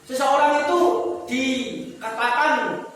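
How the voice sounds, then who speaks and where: A man's voice: extremely high pitch, slow pace, a bit bright, slight background noise. A male teacher giving a tajwid lesson in a mosque hall. He explains step by step, repeats key words and asks the class questions. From Indonesia. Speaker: Quran recitation teacher. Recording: roomy echo with long reverb, from far off.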